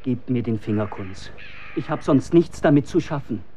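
An actor plays a furtive townsman in a historical radio play. His voice is mellow and mid-pitched, guarded and uneasy, with old-fashioned phrasing. There are animal sounds in the noisy surroundings. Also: lively intonation, moderate pace, natural voice. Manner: guarded, archaic wording. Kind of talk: radio drama acting.

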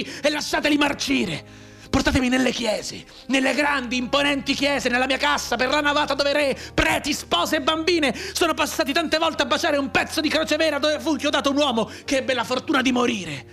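Un attore che interpreta in un radiodramma un giovane reduce di guerra mutilato, amaro e sardonico, con tono declamatorio e appassionato. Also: male voice, very high voice, vocal fry, sharp tone, heavily acted. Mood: impassioned, anguished. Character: bitter, maimed young war veteran, anguished and sardonic. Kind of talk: dramatic radio theatre monologue. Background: music under the voice.

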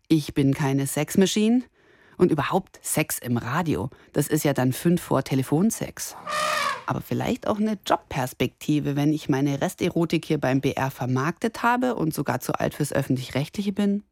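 A woman's voice: low voice, animated, moderate pace, darkish tone. A woman of thirty-nine delivering an ironic, self-mocking scripted radio monologue in the first person. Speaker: radio columnist and presenter.

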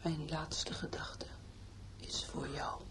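An actress in a radio play playing a despairing young woman reciting a farewell letter in a tender, breathy half-whisper. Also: low voice, level tone, takes it slowly, fairly bright tone. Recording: noisy old radio play recording.

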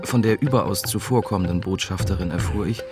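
An actor playing a young, unsure newspaper reporter who narrates and talks in a radio drama. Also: male voice, low-pitched, fast, over music, natural voice. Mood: sober narrating. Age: young adult. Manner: hesitant in dialogue, sober in narration.

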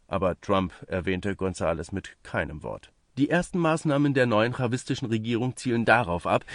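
Guy reading political journalism out loud, scripted, kinda low voice, dry recording. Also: dry recording. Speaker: reader of a translated news article. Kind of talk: scripted narration, read-aloud political journalism.

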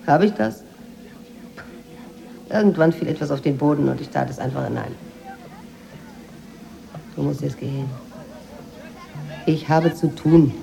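Possessive young woman servant, low hushed voice, guarded, insistent, radio drama. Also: measured pace, creaky, long pauses, a little hiss or hum, full character voice, poor audio. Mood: defiant, possessive. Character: secretive, possessive Moroccan housemaid, obsessed with her mistress.

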